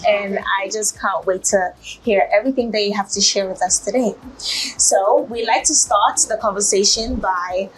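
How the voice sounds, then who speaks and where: A woman's voice: mid-range voice, steady tone, moderate pace, slightly rough, low-quality recording. Effusive female interview host. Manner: eager, effusive, frequent affirming interjections. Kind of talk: conversational interview.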